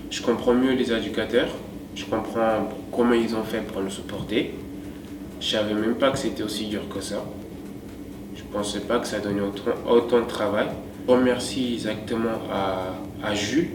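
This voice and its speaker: A young man from Senegal, speaking simply and haltingly in an interview, with many fillers. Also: lowish voice. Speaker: young football volunteer. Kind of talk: documentary interview. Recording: roomy, distant, noisy background.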